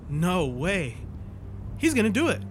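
An actor playing an anxious, excitable scientist in a sci-fi audio drama. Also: male voice, sits high, measured pace, a little hiss or hum, heavily acted. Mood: alarmed.

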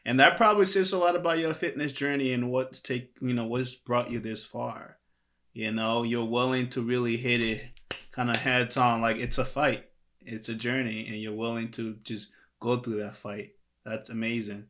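A man hosting an interview podcast, animated and emphatic, with many fillers like "you know" and "like". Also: medium pitch, normal speed. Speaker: podcast host.

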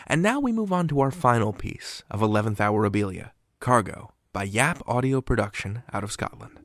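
A man's voice: on the low side, animated, moderate pace, no room sound. A man hosting a show, upbeat as he thanks a guest and introduces the next piece. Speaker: podcast host.

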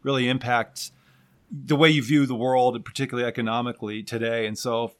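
A man's voice: mid-range voice, flat delivery, a little fast. A man hosting an interview podcast, introducing and talking with his guest in a conversational style.

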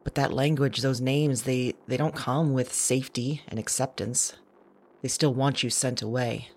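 A woman playing a wry, unsettled woman who records her night thoughts in an intimate audio drama monologue, slow and deliberate. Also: rumbling low, fairly even intonation, darkish tone. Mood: knowing, bitter. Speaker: audio drama writer and performer. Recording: music bed behind voice.

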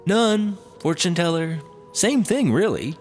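A man's voice: sits high, very animated, takes it slowly, faint music behind, heavily acted. A glib, swooping, clueless guy. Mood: flippant. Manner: glib, oblivious, swooping delivery. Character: clueless, cheeky man, flippant lovelorn rehab patient. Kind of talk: sci-fi comedy audio drama acting.

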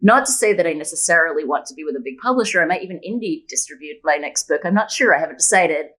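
A woman's voice: sits low, expressive, fast talker, fairly bright tone, rough recording. A woman speaking as an author guest in a casual interview, chatty and enthusiastic.

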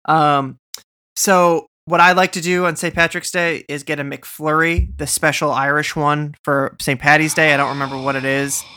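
A man's voice: on the high side, flat delivery, normal speed, sharp tone, clear, resonant tone. A man from Boston co-hosting a podcast, rambling and joking in casual chat with lots of fillers and restarts. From Boston, USA. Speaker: podcast co-host.

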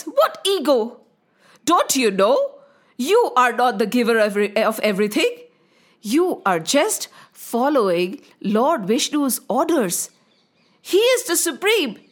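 A woman's voice: high voice, a bit bright, heavily acted. A woman voicing a stern scolding guru. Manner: scolding, indignant.

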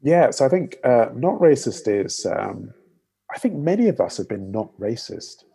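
A man with an English accent, a doctor speaking in a panel discussion in a measured way, with many fillers. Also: medium pitch, big pitch swings, creaky, mellow, breathy edge.

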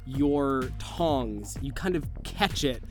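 A man of medium pitch runs a tabletop game as game master. He describes the action in a casual, improvised, vivid way, with music clearly audible underneath. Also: expressive, takes it slowly.

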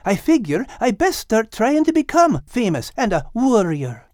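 Audiobook role: good-hearted simpleton cat, eager and earnest, very animated intonation, dry sound. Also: male voice, very high-pitched, moderate pace, dry recording, pitched above the performer's own voice. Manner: earnest, naive, folksy. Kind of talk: audiobook character acting.

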